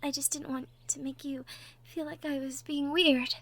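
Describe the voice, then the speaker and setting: A woman voicing a sweet, shy young cottage girl in love, gentle and stammering, in a girlfriend roleplay audio drama. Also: sits high, flat delivery, normal speed. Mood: shy, tender. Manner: stammering, gentle, breathy asides.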